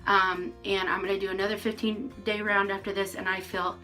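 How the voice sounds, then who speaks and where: A woman's voice: medium pitch, flat delivery, fairly quick, sharp tone, soft background music, low-quality recording. A woman in her forties giving a casual, frank vlog update to camera from her rural home, with lots of ums and restarts. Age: 40s. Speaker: vlogger.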